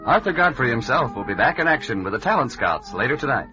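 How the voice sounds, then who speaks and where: A man's voice: mid-range voice, big pitch swings, quick pace. A man giving an upbeat 1950s radio network promo. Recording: muffled vintage radio broadcast over music. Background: music.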